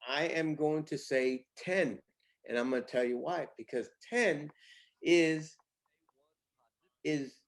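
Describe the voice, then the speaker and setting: A man speaking as a company boss in a casual interview, pitching with emphatic, repetitive phrasing. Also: takes it slowly, long pauses. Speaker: company CEO, interview guest.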